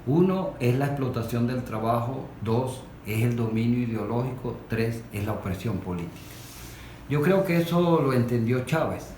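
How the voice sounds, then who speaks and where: A man's voice: medium pitch, lively intonation, moderate pace, a little mellow, a little hiss or hum. A man with a Venezuelan accent speaking as a discussion guest, setting out his points in a didactic way. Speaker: interview guest, industrial worker. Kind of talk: spontaneous political discussion. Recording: echoey room with music behind.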